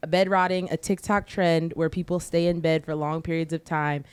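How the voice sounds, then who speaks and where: Lower-voiced young woman telling stories. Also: normal speed, smooth, no room sound. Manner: animated storyteller, acts out quotes, many "like" fillers. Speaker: podcast guest. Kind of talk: casual chat.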